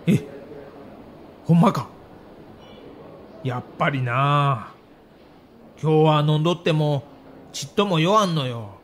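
A man's voice: a little high, slow pace, pauses often, a little hiss or hum. A man voicing a plain-spoken veteran ballplayer with a Kansai accent, musing colloquially in an audio documentary. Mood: uneasy, musing. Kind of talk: acted dialogue in audio documentary.

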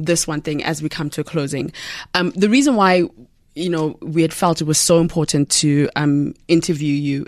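A woman's voice: low voice, no room sound, clean studio sound. A woman hosting a conversational interview who asks long, winding, chatty questions. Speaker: interview host.

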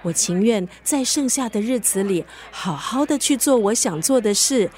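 Actress as a blunt, high-voiced Singaporean woman friend. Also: expressive, moderate pace, a little mellow, lightly acted. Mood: matter-of-fact. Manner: colloquial, mixes in English words. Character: blunt, sassy, caring best friend, a woman. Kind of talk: radio drama acting.

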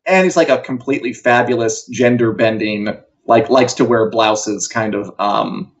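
The recording is a bit dull and lo-fi, like a live stream. A man speaks at an average pitch. He delivers entertainment news and opinions as a co-host, in a casual, wry, conversational way. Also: normal speed.

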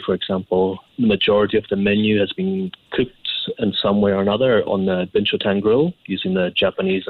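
A man's voice: low voice, dry recording, phone-quality sound. A Scottish man, an executive chef, answering questions conversationally in an interview. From Scotland.

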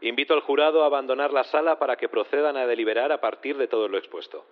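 An actor playing a formal, measured male judge presiding over a courtroom in an audio drama. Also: medium pitch, narrow, tinny audio, close to an everyday voice. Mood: formal, official.

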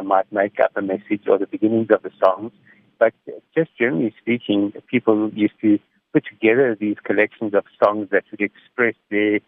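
A middle-aged man gives measured, explanatory answers in a reflective radio interview over the phone. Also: on the low side, dry recording. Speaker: interviewed music technology commentator. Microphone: phone call. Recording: tinny, narrow phone line.

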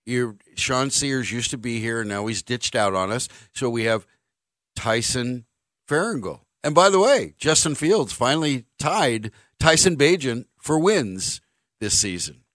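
Lively, bright-voiced wisecracking radio host man. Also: medium pitch, measured pace, no room sound, studio quality. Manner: wisecracking, upbeat and sarcastic. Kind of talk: live sports talk radio banter. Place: radio studio. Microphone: studio microphone.

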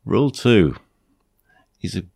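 A British man hosting a podcast with a blunt, plain-spoken manner, his voice croaky from flu. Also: sits low, sing-song, slow pace, a little mellow, no room sound, clean studio sound. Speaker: podcast host. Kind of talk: podcast monologue, anecdotes and advice.

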